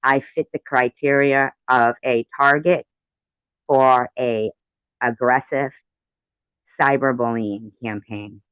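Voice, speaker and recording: Slow-talking woman, dull lo-fi sound. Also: rumbling low, steady tone, clear, resonant tone, no room sound. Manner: indignant, emphatic, repeats phrases. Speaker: commentator reacting to played audio. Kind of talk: personal commentary. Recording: dull, lo-fi recording.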